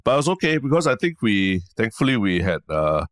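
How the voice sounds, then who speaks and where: A man's voice: lowish voice, sing-song, a little fast, a bit bright, dry recording. A man in his thirties with a Singaporean accent, co-hosting a podcast, teasing his partner in a dry, hesitant way. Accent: Singaporean English. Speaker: podcast co-host. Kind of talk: casual chat.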